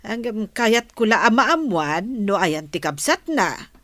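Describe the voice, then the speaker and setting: A woman's voice: mid-range voice, big pitch swings, a little slow, fairly bright tone, no room sound. A Filipino woman playing a chatty, inquisitive older village mother in a radio drama, full of interjections.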